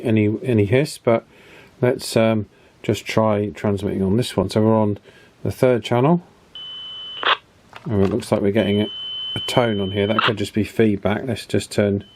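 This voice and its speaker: A man giving a casual hands-on repair demonstration as host, unhurried and thinking aloud through each step. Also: lowish voice, darkish tone, no room sound. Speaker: host of a radio repair channel.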